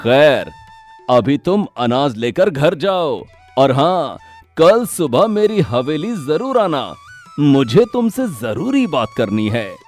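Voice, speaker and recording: A man playing a shrewd, outwardly genial village headman and moneylender in an animated story, commanding and condescending. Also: a little high, slow pace, soft background music. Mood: falsely genial. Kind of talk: animated Hindi moral story dialogue.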